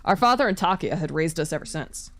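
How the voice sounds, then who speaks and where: A woman's voice: sits low, lively intonation, fairly quick, no echo. A woman streamer reading visual novel narration aloud, with casual asides. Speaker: VTuber streamer.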